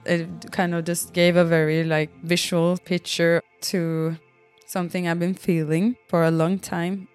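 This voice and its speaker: Slow, low-voiced woman over music. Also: flat delivery, a little mellow, smooth. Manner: hesitant, thoughtful, pausing between short phrases. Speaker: musician telling her own story. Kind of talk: reflective personal narration. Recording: music behind the voice.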